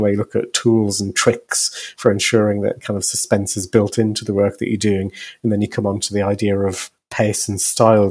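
Husky-voiced man hosting an interview. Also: on the low side. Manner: wordy, meandering questions with frequent "kind of". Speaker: interviewer, host. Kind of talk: conversational interviewing.

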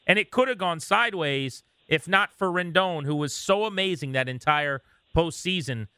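Bright, higher-voiced man hosting sports talk. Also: expressive, moderate pace, clear, resonant tone, no echo. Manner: enthusiastic fan, conversational. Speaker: sports radio host.

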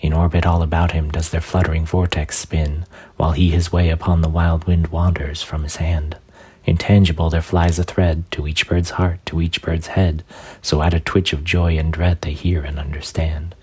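A man reading poetry aloud in a measured, solemn and lyrical delivery. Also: very deep voice, flat delivery, a little mellow, breathy edge. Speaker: poetry reader.

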